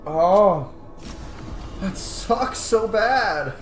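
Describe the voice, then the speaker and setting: A man's voice: high voice, expressive, extremely slow. A man giving casual, emotionally invested commentary as he plays a video game. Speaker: gaming streamer. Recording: roomy, game music behind.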